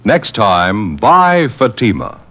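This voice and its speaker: A man working as a commercial announcer, reading a scripted 1950s radio sales pitch in an emphatic, polished manner. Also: huge pitch swings, slow pace, heavy background noise. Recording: muffled vintage radio broadcast.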